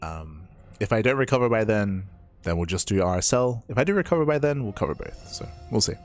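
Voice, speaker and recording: A man with a hoarse, raspy voice, an esports caster chatting casually as he signs off a stream, rambling and repeating himself. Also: on the low side, expressive, brisk. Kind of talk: casual stream sign-off chat.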